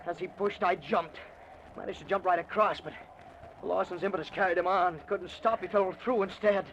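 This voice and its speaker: A man's voice: sits high, normal speed, fairly bright tone. A man playing a wary, dry intended victim in an old-time radio thriller, speaking in clipped, wry phrases. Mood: wry, shaken. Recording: dull, noisy vintage radio recording.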